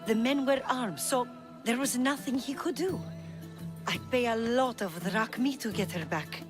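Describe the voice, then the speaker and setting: Woman playing a high-voiced, earnest young courtesan. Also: measured pace, over music, lightly acted. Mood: worried. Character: worried loyal young courtesan seeking her friend. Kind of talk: video game dialogue acting.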